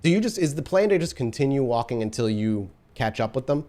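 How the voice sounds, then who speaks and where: A man acting as storyteller for a tabletop horror game, his voice sitting at an ordinary middle pitch. He paints the scene in vivid detail, then shifts easily into patient explanations of dice rolls and rules. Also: very animated, fairly quick, dark tone.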